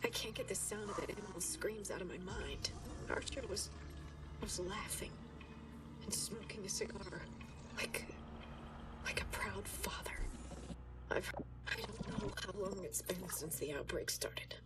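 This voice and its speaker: A woman's voice: mid-range voice, measured pace, long pauses, over loud music, close to an everyday voice. Actress playing a haunted woman scientist, distant mic. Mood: weary, disturbed, then haunted, desperate. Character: haunted woman scientist translator, exhausted and unravelling. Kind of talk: video game audio diary narration.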